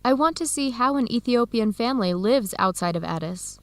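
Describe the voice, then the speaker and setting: An eager young woman in an educational radio drama, a considerate student with a fairly high voice. She speaks in a friendly, earnest way, in a dry, close studio sound. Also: expressive, a little fast, no echo.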